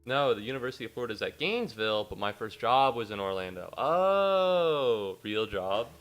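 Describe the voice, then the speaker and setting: A man's voice: mid-range voice, lively intonation, moderate pace, a bit bright. A young American man, a little sniffly after a cold, chatting in a rambling, self-mocking way to his viewers during a casual game livestream. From United States. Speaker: game streamer. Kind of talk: casual livestream chat while gaming.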